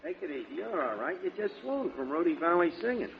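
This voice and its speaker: An actor playing an excitable comic bystander in a 1940s radio comedy. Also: male voice, fairly high pitch, fast, vocal fry. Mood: reassuring. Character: excitable comic bystander fussing over a fainting fan. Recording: dull, noisy 1940s radio recording.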